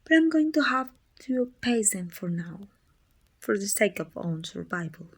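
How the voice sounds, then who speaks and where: A woman playing a dominant, haughty evil queen with a soft spot, musing imperiously in an ASMR roleplay. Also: mid-range voice, very animated, normal speed. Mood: scheming, reluctant. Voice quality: husky, breathy with whispered edges. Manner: imperious, musing, teasing condescension. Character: dominant, haughty evil queen softening toward her little helper.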